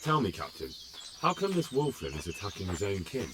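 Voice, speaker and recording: A persistent young squire with a fairly low voice that rises and falls a great deal. He questions people earnestly and presses them when their answers don't add up, in a game cutscene. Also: huge pitch swings, a little fast. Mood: curious. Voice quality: probing, insistent. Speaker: protagonist questioning witnesses. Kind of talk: video game cutscene acting.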